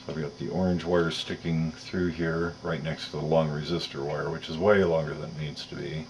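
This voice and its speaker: Low-voiced man giving step-by-step instruction. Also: little pitch movement, a little mellow, slight background noise. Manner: methodical, detailed, unhurried explaining. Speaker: electronics tutorial presenter. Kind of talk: step-by-step hands-on instruction, talking while working.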